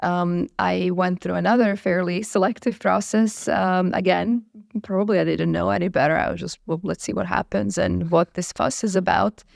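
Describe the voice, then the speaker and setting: A woman's voice: on the low side, steady tone, normal speed, creaky, darkish tone, dry recording, clean studio sound. A woman in her forties from Croatia, a biotech chief executive answering interview questions thoughtfully, with frequent fillers and restarts. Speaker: biotech CEO, guest.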